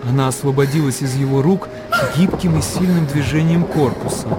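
A man's voice: fairly high pitch, brisk, loud music behind the voice. A man narrating a literary radio drama in a dramatic storytelling style.

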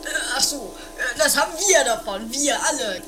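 A high-pitched, whiny man in a trashy comedy radio play, music playing around him. He is grumbling, stubborn and cowardly. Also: lively intonation, moderate pace.

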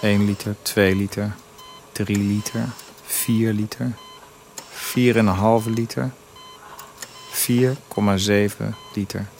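A man's voice: lowish voice, fairly even intonation, takes it slowly, gravelly, long pauses, a little mellow, a little hiss or hum. A man playing a blunt, bitter husband of a dying wife, narrating in clipped, crude sentences in a confessional radio drama. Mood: weary, bleak. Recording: music behind the voice.

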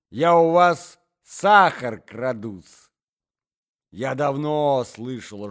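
A man voicing a squealing, indignant middle-aged provincial gentlewoman. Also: a little high, smooth, pitched above the performer's own voice, heavily acted.

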